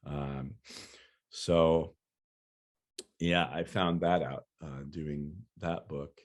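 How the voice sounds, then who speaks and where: A poet answering audience questions in a relaxed, loose way, with lots of fillers. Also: male voice, very deep voice, very slow. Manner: relaxed, many "you know" fillers, laughs easily. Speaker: featured speaker, poet. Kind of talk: casual talk and Q&A.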